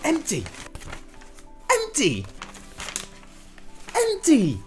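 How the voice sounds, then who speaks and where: A man's voice: extremely high pitch, huge pitch swings, extremely slow. A British man hosting a gaming news show with scripted comic commentary in a theatrical, sarcastic manner. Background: background music.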